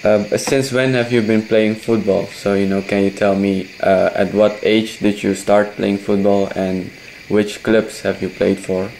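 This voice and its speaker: A male interviewer and native Indonesian speaker with a lowish voice, asking questions haltingly and rephrasing them as he goes. Faint background hiss sits under his voice. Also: level tone, gravelly, darkish tone, poor audio. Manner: hesitant, many "okay" and "yeah" fillers, rephrases questions. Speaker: interviewer, host. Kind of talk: casual interview.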